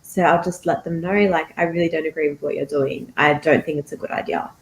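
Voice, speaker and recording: A woman's voice: sits low, little pitch movement, brisk, slight background noise. A woman guest on a panel who speaks frankly and easily, with some filler ums. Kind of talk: panel discussion. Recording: echoey room.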